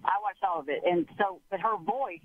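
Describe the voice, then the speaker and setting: A woman calling in to a radio show, talking in halting, rambling bursts and breaking off her own sentences. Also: fairly low pitch, dry recording. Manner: halting, rambling, interrupts herself. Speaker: caller. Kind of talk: call-in radio conversation. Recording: tinny phone line.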